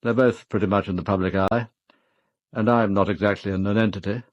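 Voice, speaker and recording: An actor playing a guarded, middle-aged British former army officer in a radio drama, speaking in a clipped, restrained way. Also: male voice, lowish voice, fairly even intonation, a little fast, dry recording, lo-fi sound, natural voice. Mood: grimly confessional.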